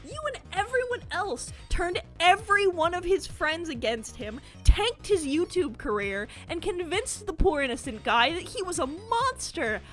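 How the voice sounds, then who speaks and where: Very high-voiced accusatory woman commentator. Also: very animated, a little slow, hardly any pauses, fairly bright tone. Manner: accusatory, emphatic, sweary. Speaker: video commentator. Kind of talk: scripted rebuke commentary. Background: background music.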